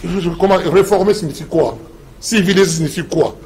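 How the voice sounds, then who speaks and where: A man's voice: high voice, fairly quick, darkish tone, a little hiss or hum. A man, a professor lecturing emphatically in a TV debate. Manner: emphatic, lecturing, repeats slogans.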